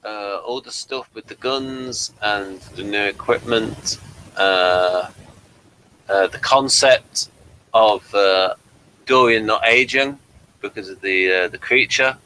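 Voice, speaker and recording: A man's voice: lowish voice, takes it slowly, pushed and bright, a little hiss or hum, low-quality recording. A man hosting a casual review chat, rambling and thinking aloud as he goes. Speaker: podcast review host.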